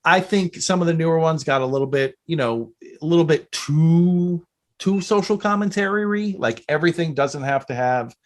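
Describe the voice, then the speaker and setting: A man's voice: on the high side, normal speed. A man hosting a podcast, chatting casually in a salty, opinionated and effusive way. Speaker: podcast host. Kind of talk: casual interview chat.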